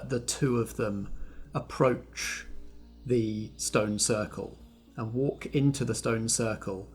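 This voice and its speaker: A man narrating a tabletop roleplaying game as game master, in a measured, descriptive storytelling manner. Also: mid-range voice. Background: faint background music.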